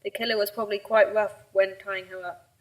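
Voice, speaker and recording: A woman's voice: mid-range voice, little pitch movement, a little fast, lightly acted, poor audio. A woman playing a matter-of-fact pathologist in an amateur radio drama, clinical and unemotional. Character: matter-of-fact female pathologist reporting post-mortem findings.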